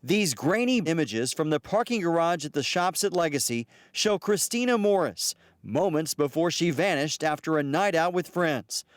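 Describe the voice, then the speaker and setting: A man's voice: fairly high pitch, lively intonation, normal speed, hardly any pauses, dry recording, studio quality. An American man giving a live television news report as a field reporter, outdoors.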